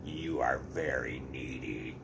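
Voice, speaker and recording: Storybook toad housemate, withdrawn and irritable, deep slow grumble male reader acting it out. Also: creaky, noisy background, full character voice. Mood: weary, annoyed. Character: anxious, grumpy, weary male toad housemate.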